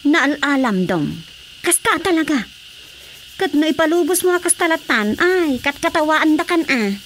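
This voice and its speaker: An actress with an Ilocano accent playing an earnest, gently insistent young village wife in a radio drama. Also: female voice, high-pitched, lively intonation, normal speed, slight background noise.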